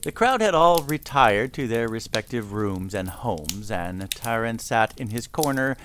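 A man's voice: medium pitch, animated, unhurried, a bit bright, a little hiss or hum. A man narrating a scripted fantasy audio drama in the role of storyteller. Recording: clear music behind the voice. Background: music.

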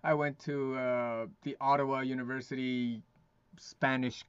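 A man chatting as a podcast guest, his medium-pitched voice dry and a little muffled. He tells his stories loosely, with many false starts and laughs. The tone stays easygoing. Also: little pitch movement, fairly bright tone, clear, resonant tone, no room sound. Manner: halting, many restarts, laughs often. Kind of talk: casual interview chat. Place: lives in Quebec.

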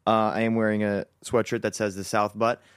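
A man's voice: lowish voice, level tone, brisk, clean tone, no echo. A man in his twenties hosting a podcast, chatting casually and cracking goofy puns. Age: 20s. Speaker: podcast host. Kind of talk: casual chat.

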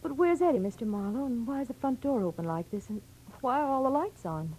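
Anxious, dark-toned, husky radio sweetheart. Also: female voice, high voice, expressive, fairly quick. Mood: worried. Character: anxious, lovestruck girlfriend of a client in detective drama. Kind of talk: 1940s radio drama acting. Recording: dull-toned old radio drama.

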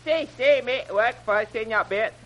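A woman voicing an evasive, quietly menacing plantation servant man who speaks halting broken English in 1930s radio style. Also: a little high, dull audio, lightly acted. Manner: halting broken English with stock foreign phrasing.

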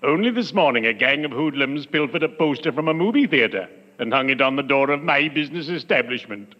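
An actor playing a genteel, gloomy undertaker with morbid wit and florid, formal diction in 1940s radio comedy style. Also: male voice, fairly high pitch, expressive, fairly quick. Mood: mock solemn. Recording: muffled, noisy old radio recording, reverberant.

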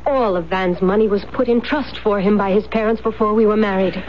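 An actress playing a cold, imperious, money-hungry wife in a 1970s radio drama, haughty and deliberate in manner. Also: female voice, mid-range voice, fairly even intonation, lightly acted. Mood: cool, calculating. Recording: noisy, dull 1970s radio broadcast.

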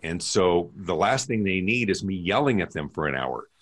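A middle-aged man with a deep voice, hosting a casual interview in a chatty, self-deprecating way. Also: animated, moderate pace, a bit bright. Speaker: podcast host.

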